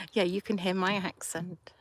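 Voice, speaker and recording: A woman chats unhurriedly to her live viewers. She talks at a slow, easy pace, thinking aloud as she goes, her voice in a middle register. She holds her phone out of doors by a riverside. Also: medium pitch, slightly rough, dry recording. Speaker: livestream host. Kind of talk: casual chat with live viewers. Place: outdoors by a river at sunset. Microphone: handheld phone.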